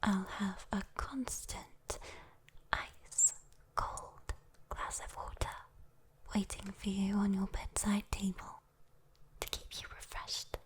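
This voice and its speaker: A British woman voicing a sweet, teasing, doting demon girl in a soothing ASMR bedtime roleplay, whispering gently with coy endearments. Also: medium pitch, completely flat delivery, takes it slowly, long pauses, natural voice. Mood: tender, reassuring. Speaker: ASMR voice performer.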